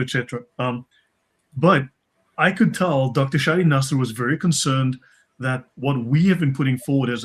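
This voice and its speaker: A man speaking as a panel guest, fluent and listing points one after another. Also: mid-range voice. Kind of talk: casual panel discussion.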